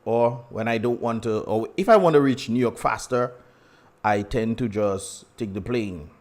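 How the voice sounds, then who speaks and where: A man's voice: lowish voice, unhurried, a little mellow. A young man with a Dominican accent hosting a casual livestream, chatty and laughing often as he greets viewers. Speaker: livestream host.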